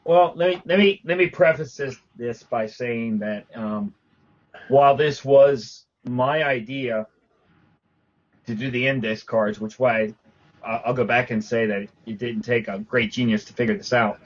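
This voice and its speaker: A man's voice: mid-range voice, a little slow, muffled recording. A man co-hosting a casual talk show about collecting, rambling with frequent restarts and fillers. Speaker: podcast co-host.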